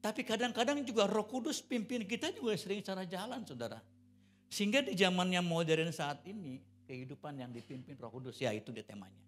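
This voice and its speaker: A man's voice: high voice, expressive, brisk, soft background music. Man in his sixties preaching. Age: 60s. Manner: conversational, swelling into loud emphatic preaching. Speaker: pastor. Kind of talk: church sermon with personal stories. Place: church service.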